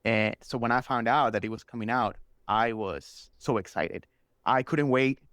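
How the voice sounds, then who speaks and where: A man's voice: mid-range voice, normal speed, fairly bright tone, no echo, studio quality. A man whose first language is Spanish, chatting enthusiastically and casually as a guest telling stories on a podcast. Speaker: interview guest, superfan.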